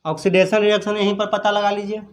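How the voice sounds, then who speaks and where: A man with a noticeably high voice gives a step-by-step lesson. He speaks as a teacher, instructing and repeating each point so students can follow. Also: level tone, fast talker. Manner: repetitive, instructive. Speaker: chemistry teacher. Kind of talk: step-by-step exam problem lesson.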